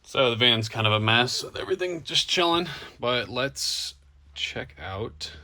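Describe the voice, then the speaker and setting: A man's voice: medium pitch, animated, measured pace, bright, forceful tone. A man talking casually in a laid-back, slangy way, like a DIY vlogger. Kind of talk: casual DIY vlog chat.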